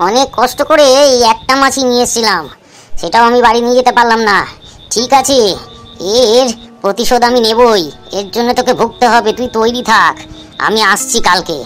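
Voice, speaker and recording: Woman as a fast, high-voiced scheming cartoon villager. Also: a bit bright, faint music behind, natural voice. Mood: aggrieved, vengeful. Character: aggrieved, scheming village father out for revenge. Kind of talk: cartoon voice acting.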